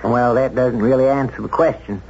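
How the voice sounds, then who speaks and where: A calm, probing sheriff in a vintage radio mystery, played by a man. He speaks with measured skepticism at an ordinary male pitch, on a slightly hissy, muffled recording. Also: natural voice. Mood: skeptical, dry. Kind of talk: 1970s radio drama acting.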